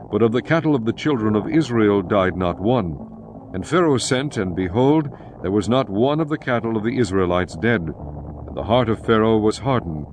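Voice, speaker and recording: A man narrating scripture in a solemn, measured, scripted style. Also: on the low side, background noise. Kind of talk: scripted dramatised Bible narration. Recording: music behind narration.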